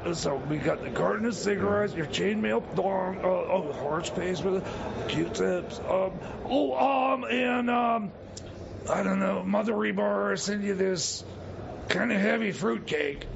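A man's voice: sits high, unhurried, creaky, full character voice. A man playing a frazzled, bumbling buddy on a prison visit in comedy radio theater, stammering and breaking off sentences. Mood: nervous, scattered. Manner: stammering, hesitant, scattered, constant broken-off starts. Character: Jeb, frazzled bumbling male friend visiting jailed pal. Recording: muffled lo-fi audio with loud noise.

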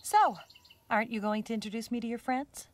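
A woman with an American accent voicing a smart, teasing sports car in an animated game, with a wry manner. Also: on the high side, fairly quick, heavy background noise, natural voice. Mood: wry, miffed. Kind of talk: animated video game acting.

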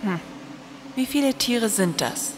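A woman's voice: fairly high pitch, expressive, unhurried, big-room sound, noisy background. A woman playing a skeptical, reserved programmer in an audio drama, speaking matter-of-factly.

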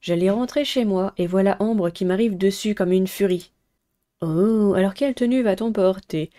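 A young adult woman with a soft-toned, mellow voice at medium pitch. She hosts a visual novel playthrough, switching between reading aloud and chatty commentary. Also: normal speed. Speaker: gaming video host.

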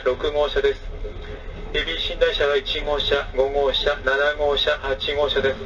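A male train conductor making formal onboard announcements through a public address speaker, with measured phrasing and frequent filler sounds. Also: fairly high pitch, fairly bright tone. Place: moving overnight sleeper train. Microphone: train public address system, recorded from a passenger seat. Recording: distant, dull, noisy 2006 recording of train PA. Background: train running noise.

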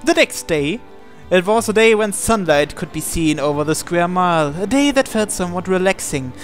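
High-voiced man reading game narration. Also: expressive, moderate pace. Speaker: gaming channel host reading aloud. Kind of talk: reading game narration aloud, with casual asides. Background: game music.

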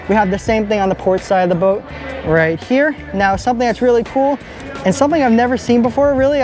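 A young man filming a walkaround boat review at arm's length in a large indoor boat show hall, talking with steady enthusiasm. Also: high voice. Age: young adult. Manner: enthusiastic, upbeat. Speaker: boat vlogger. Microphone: handheld camera at arm's length.

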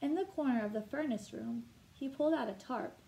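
A woman with a fairly high voice reads a children's story aloud. She narrates expressively and articulates for young listeners, stepping out now and then to explain, as a teacher would. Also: brisk, vocal fry, warm tone, rough recording. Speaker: teacher reading a picture book aloud. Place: indoor room at home.

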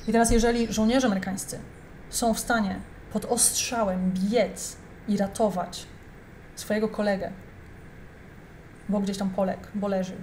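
A woman's voice: mid-range voice, fairly even intonation, moderate pace, long pauses, darkish tone, slight background noise. Indignant woman ranting to viewers. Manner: indignant, emphatic, addresses viewers directly. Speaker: commentator reacting to a video. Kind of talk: heated reaction commentary.

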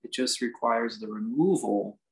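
A man teaching a guided meditation, speaking in measured, halting phrases with reflective pauses. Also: mid-range voice, creaky, poor audio. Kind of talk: guided meditation, unscripted instruction.

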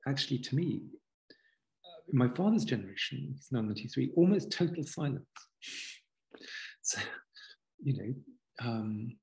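Fifties male author guest, earnest and halting, video call from home. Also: mid-range voice, lively intonation, gravelly, a little mellow, low-quality recording. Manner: halting, many restarts and fillers, earnest. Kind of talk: reflective conversational interview. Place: home study with bookshelves. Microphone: video call webcam.

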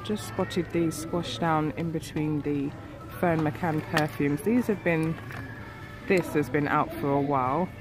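A woman's voice: sits low, steady tone, a little slow, music in the background. Nasal woman vlogging in a shop. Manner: chatty, filler-heavy. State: nasal from a cold. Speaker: beauty vlogger. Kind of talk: casual shop walkthrough. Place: shop aisle, indoors. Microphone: handheld phone camera.

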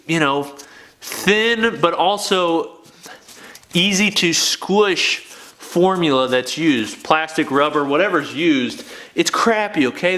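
A young man talks straight to the camera from his home garage gym, loud and emphatic, with a bright, forceful voice a little high for a man. His words bounce around a hard, echoey room while he moves about on camera, and he tags "okay?" onto his points. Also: slight background noise. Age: young adult. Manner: emphatic, keeps adding "okay?". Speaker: fitness video host. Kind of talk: casual talk to camera. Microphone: camera mic, moving around the room.

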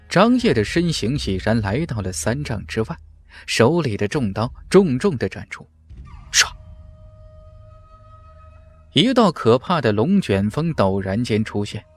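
Man narrating a fantasy audiobook with lively pitch. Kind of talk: dramatic scripted narration of a fantasy novel.

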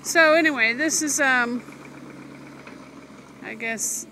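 A woman's voice: sits high, takes it slowly, poor audio. A woman casually narrating a home renovation video while filming outdoors, chatty and full of "you know" fillers. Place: outdoors at a building site. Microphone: phone camera held by the narrator. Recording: outdoor phone video with loud machinery. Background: digger engine noise.

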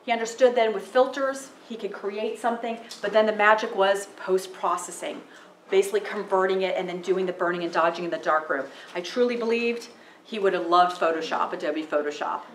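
A woman in her forties, a photographer giving a casual talk in a library meeting room, speaking loudly into a handheld microphone with plenty of fillers. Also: medium pitch, a little fast, slight background noise. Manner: loud, chatty, with many fillers. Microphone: handheld microphone through PA. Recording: echoey room over a PA.

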